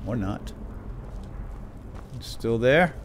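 A man's voice: mid-range voice, expressive, extremely slow, background noise. A man giving playful, lightly role-played let's play commentary, chatting to his in-game companion.

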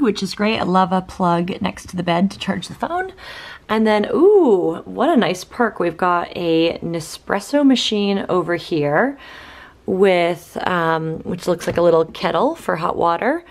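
A woman's voice: fairly low pitch, darkish tone. A woman in her thirties, a travel vlogger giving a chatty, upbeat tour of a small hotel room, filming with a handheld camera. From Boston, USA. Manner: chatty, upbeat, thinking aloud with fillers. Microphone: handheld camera at arm's length.

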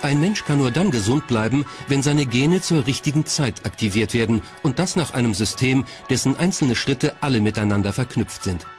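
A man's voice: medium pitch, lively intonation, normal speed, hardly any pauses. A man reading scripted narration as the narrator of a documentary. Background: faint music.

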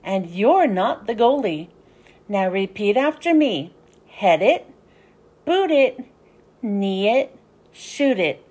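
A woman voicing a loud, enthusiastic father frog who coaches soccer in a storybook, calling out and chanting bossy instructions. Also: medium pitch, very animated, slow pace, long pauses, voice pushed down. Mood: firm instructing.

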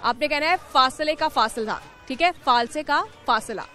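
A young woman hosting a TV street quiz in a zoo park, talking into a handheld mic with a lively, coaxing manner. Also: high voice, measured pace. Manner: lively, laughs often, coaxing. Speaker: TV street-interview host. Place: outdoors in a city zoo park. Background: light music and crowd chatter.

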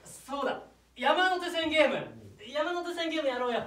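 A man's voice: very high voice, normal speed, pushed and bright. A man playing a playful, restless young climber with a silly, eager manner in a live stage comedy sketch. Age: young adult. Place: small theatre stage, indoors. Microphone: far camera. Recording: distant, echoey theatre recording.

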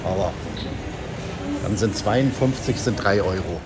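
A man's voice: low-pitched, unhurried. A man in his forties, vlogging from a car and a supermarket, chatty and wry, talking to a camera held at arm's length, with music under his voice. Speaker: travel vlogger. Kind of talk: casual travel vlog. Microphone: handheld camera at arm's length.

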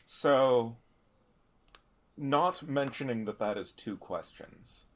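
A man narrating a tabletop game as a player, improvising in halting, deliberate phrases. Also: medium pitch.

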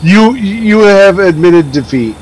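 Guy in game voice chat teasing his buddy, kinda high voice, faint noise. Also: expressive, takes it slowly, darkish tone, low-quality recording. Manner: teasing, laughs at the host. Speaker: gaming friend in voice chat. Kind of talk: casual group chat.

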